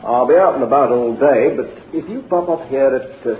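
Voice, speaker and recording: A man's voice: mid-range voice, fairly quick, close to an everyday voice. An actor playing a glib, patronising businessman with a falsely encouraging, jovial manner in an old-time radio drama. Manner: hearty, patronising, chuckling. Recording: lo-fi narrow-band radio recording, faint noise, roomy.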